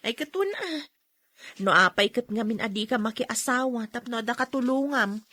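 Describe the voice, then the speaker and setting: Chatty, high-voiced Ilocano shopkeeper. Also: female voice, moderate pace, pushed and bright. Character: chatty, teasing woman shopkeeper, frank with her friend. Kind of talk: radio drama acting.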